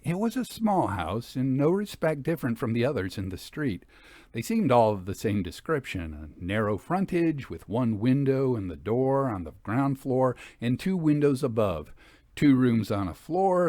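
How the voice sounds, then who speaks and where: A man reading a Victorian ghost story as scripted narration, in a measured storytelling delivery. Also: medium pitch, a little mellow, dry recording. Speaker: audiobook reader.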